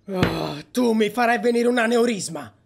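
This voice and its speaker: A man playing an exasperated, sarcastic young flatmate in a dubbed comedy sketch, arguing in disbelief. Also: high voice, moderate pace, creaky, noisy background. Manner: incredulous, argumentative.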